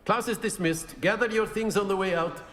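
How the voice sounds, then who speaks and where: An actor playing a jovial wizard professor in a video game, theatrical and playful, with a husky voice. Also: male voice, high-pitched, very noisy, lightly acted. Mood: brisk. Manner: theatrical, playful, with drawn-out tag questions. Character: jovial, theatrical male wizard professor who relishes contests. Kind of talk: video game character acting.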